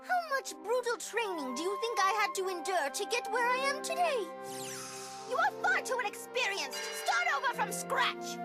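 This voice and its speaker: Pompous, squeaky little bird creature. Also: female voice, expressive, normal speed, over loud music. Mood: indignant. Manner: pompous, formal, theatrical speech. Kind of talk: English video game dub acting.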